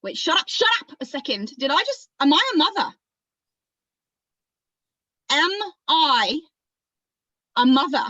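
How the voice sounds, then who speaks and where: A woman's voice: a little high, animated, a bit bright, no echo, highs cut off. A woman playing an agitated, pleading psychiatric patient with an Australian accent in a dramatic stage monologue, volatile and repeating herself. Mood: shocked confusion. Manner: volatile, confrontational, halting and repeating.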